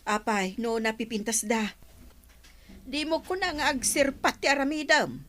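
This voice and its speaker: A woman's voice: on the high side, moderate pace, fairly bright tone, natural voice. A woman playing a watchful, scolding boarding-house landlady in an audio drama. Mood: chiding. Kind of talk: dialogue in an amateur audio drama.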